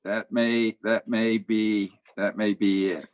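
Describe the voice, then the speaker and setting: Man chairing a video-call meeting, slow delivery, halting and filler-heavy. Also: lowish voice, fairly even intonation. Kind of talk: online club meeting.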